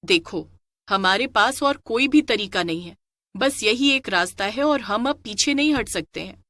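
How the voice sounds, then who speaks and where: A woman voicing a bold, determined village woman in an animated story, speaking assertively and with emphasis. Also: mid-range voice, measured pace, no room sound. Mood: defiant, firm. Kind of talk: animated moral story character acting.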